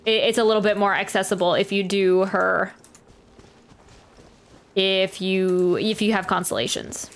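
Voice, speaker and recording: A woman's voice: medium pitch, a little slow, pauses often. A woman streaming a game, chatting casually and rambling as she thinks aloud. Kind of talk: casual live stream commentary. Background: game music.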